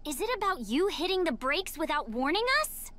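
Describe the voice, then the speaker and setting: A woman playing a feisty, determined young kid who fights monsters in a cartoon comic dub, emphatic and excitable. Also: sits high, brisk. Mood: alarmed.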